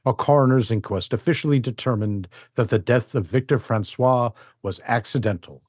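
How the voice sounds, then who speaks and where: A man's voice: medium pitch, normal speed, a little mellow, dry recording, muffled recording, close to an everyday voice. A male announcer giving the closing statement of a radio drama in a formal, solemn read.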